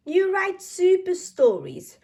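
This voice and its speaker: A woman voicing a warm, encouraging mother pig in a children's storybook, patient and supportive. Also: very high voice, wildly swooping pitch, slow pace, pitched above the performer's own voice, full character voice.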